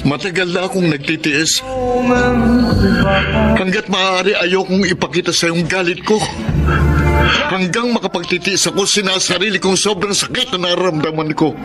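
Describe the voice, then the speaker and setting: A male actor voices a heartsick, jealous man telling of his failing love in a radio drama. His delivery is earnest and melodramatic, in a slightly high male voice. Heavy music plays behind him. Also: lightly acted. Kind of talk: Tagalog radio drama acting and narration.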